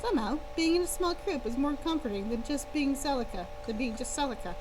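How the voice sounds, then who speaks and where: Woman reading her story on stream, high voice, playful casual delivery, noisy humming background. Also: fairly quick. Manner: expressive, playful. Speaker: author-style reader and streamer. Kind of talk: first-person story read-aloud with casual asides. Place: live stream. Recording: clear background hum and noise.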